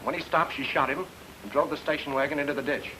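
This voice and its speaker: A man's voice: on the high side, fast, natural voice. Wry radio detective played by a man, noisy recording. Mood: casual, shrewd. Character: married amateur sleuth man, wry and quick-witted. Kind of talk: old-time radio detective drama acting.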